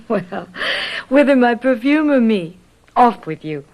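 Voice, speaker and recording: An actress playing a shrewd, affectionate young fiancée in a radio drama, coaxing and gently teasing. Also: female voice, on the high side, expressive, slow pace. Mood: fond, teasing.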